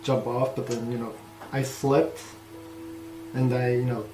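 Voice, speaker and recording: A young man whose first language is English and second is Korean, speaking as an interview guest with many fillers, halting and soft about painful memories. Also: mid-range voice, little pitch movement, echoey room, distant mic, faint music behind, low-quality recording. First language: Korean is his second language; English first. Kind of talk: candid personal interview.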